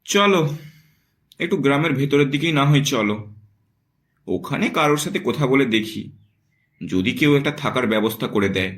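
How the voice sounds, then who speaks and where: A man voices a serious young male investigator for a horror cartoon. His pitch moves in lively swings around a middle register, and he comes across as earnest, polite and thoughtful. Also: moderate pace, pauses often, close to an everyday voice. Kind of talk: Bengali horror cartoon voice acting.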